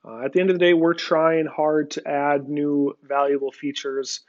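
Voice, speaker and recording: A man giving a relaxed, conversational screen-recorded walkthrough of farm software, with frequent filler words. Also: fairly high pitch, normal speed, dull audio. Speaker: software company representative. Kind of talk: screen-recorded product demo.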